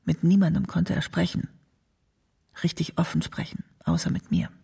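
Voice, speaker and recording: An actress playing a close confidant recalling a troubled friend in a radio drama, in a husky, creaky voice. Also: female voice, fairly low pitch, sing-song, normal speed, mellow, exaggerated voice. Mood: hushed concern. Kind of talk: scripted radio documentary drama.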